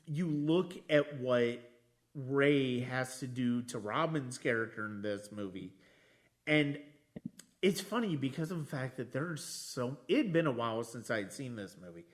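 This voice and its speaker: A man hosting a podcast, talking casually about films in a reflective, halting way with frequent restarts. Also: mid-range voice, expressive. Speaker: podcast host. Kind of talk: casual film discussion.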